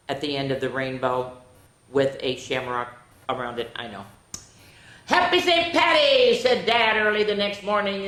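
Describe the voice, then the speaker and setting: A woman hosting a children's storytime, reading picture books aloud with playful, chatty asides to her young listeners. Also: deep voice. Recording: echoey, roomy sound.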